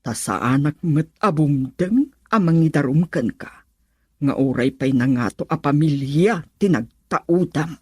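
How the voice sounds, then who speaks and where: A woman's voice: deep voice, expressive, a little slow, dark tone, breathy edge, exaggerated voice. An actress playing a worried, brooding middle-aged mother thinking aloud in an Ilocano radio drama. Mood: anxious.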